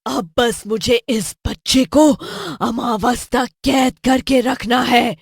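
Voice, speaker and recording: A woman voicing a cruel, menacing witch who gloats in slow, drawn-out threats and craves power. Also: a little high, fairly even intonation, gravelly, slightly rough, no room sound, studio quality, exaggerated voice. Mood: gloating menace.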